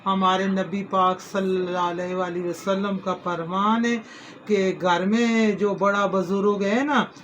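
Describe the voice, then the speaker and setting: A man's voice: sits high, flat delivery, slow, fairly bright tone, slight background noise. A middle-aged man, hosting a home cooking video in a folksy, rambling way, full of homely advice and blessings. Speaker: cooking channel host. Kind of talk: casual home cooking vlog.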